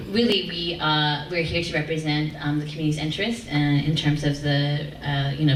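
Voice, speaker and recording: A woman chairing a public community meeting, speaking hesitantly with many fillers. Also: low voice. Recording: echoey room, distant mic, faint noise.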